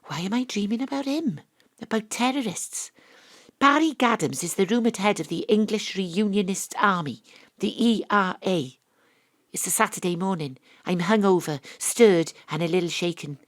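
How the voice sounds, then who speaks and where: An actress playing a wry, world-weary Welsh private investigator, narrating and talking in an audio drama. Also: female voice, mid-range voice, normal speed, close to an everyday voice. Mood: wry narration. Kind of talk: first-person audio drama narration and dialogue.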